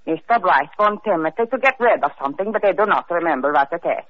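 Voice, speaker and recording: A woman playing a nervous foreign-born housemaid who speaks halting, broken English in an old radio drama. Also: on the low side, animated. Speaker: maid. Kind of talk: 1940s radio acting. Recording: dull old radio recording.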